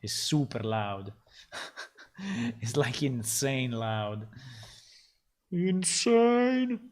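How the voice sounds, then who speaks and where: A man, a game developer, talking casually on a live stream as he works, thinking aloud and halting often with fillers. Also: mid-range voice, sing-song, darkish tone. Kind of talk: casual live-stream talk while working.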